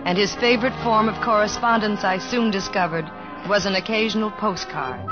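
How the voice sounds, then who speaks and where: A woman's voice: medium pitch, normal speed, close to an everyday voice. An actress playing a spirited, plain-spoken young small-town woman in an old radio drama. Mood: wistful, narrating. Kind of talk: old-time radio drama, narration and dialogue. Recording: dull old radio recording, music behind.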